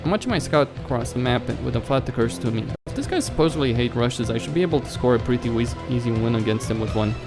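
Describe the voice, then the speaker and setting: A man's voice: mid-range voice, expressive, fast talker, few pauses. A man hosting a let's play, reading a visual novel script aloud and stumbling over some words. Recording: music behind the voice.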